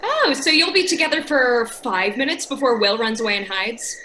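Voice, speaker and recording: A woman's voice: a little high, animated, moderate pace, lightly acted. A woman playing a bossy, impatient young woman in an audio drama, curt and sarcastic. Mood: snarky. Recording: faint noise with night insects. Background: crickets and frogs.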